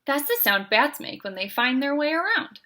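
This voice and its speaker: An excitable little girl beaver from a children's storybook, acted out by a woman reading aloud. She is a know-it-all who speaks emphatically and teasingly, with a high, bright voice. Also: normal speed, heavily acted. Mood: eager, teasing.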